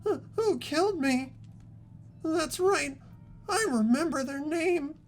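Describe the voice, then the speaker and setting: A performer voicing a delirious, tearful dying teenage boy in a fan game dub, weak and gasping with drawn-out words. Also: sits high, animated, soft background music, full character voice. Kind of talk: fan-dubbed visual novel acting.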